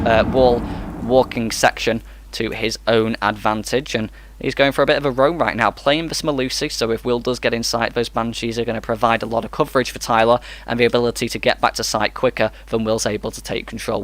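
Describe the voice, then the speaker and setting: A man giving energetic, rapid play-by-play commentary on an esports match. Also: medium pitch, slight background noise.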